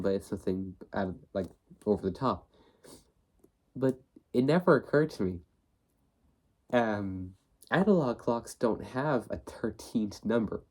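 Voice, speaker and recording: Young male host, a bit sniffly from a cold, low voice, loose rambling delivery. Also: a little mellow. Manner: rambling, many fillers and restarts. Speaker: book video host. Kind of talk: casual talk to camera.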